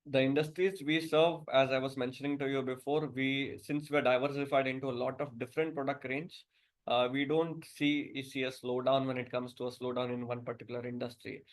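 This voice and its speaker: Indian-accented man presenting, narrow pitch range. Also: fairly even intonation, a little fast. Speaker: company director. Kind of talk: business presentation to investors.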